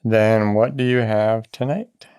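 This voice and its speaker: A man's voice: fairly low pitch, steady tone, takes it slowly, darkish tone, clear, resonant tone. A man co-hosting a casual podcast, reacting with dry, teasing remarks. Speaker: podcast co-host.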